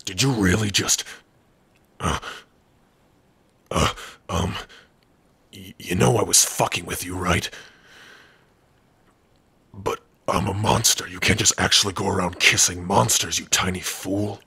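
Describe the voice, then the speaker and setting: Man voicing a deep, raspy, teasing orc. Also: fairly even intonation, bright, forceful tone, dry recording, heavily acted. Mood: flustered. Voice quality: gravelly, rough, husky. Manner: gruff, teasing, unhurried. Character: big gruff male orc, teasing, secretly soft-hearted. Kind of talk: ASMR roleplay addressed to the listener.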